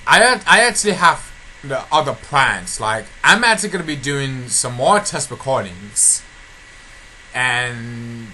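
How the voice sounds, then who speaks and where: A man's voice: mid-range voice, bright, forceful tone. A man giving a casual, rambling update for his video channel, often repeating himself and restarting sentences. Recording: noticeably noisy amateur home recording.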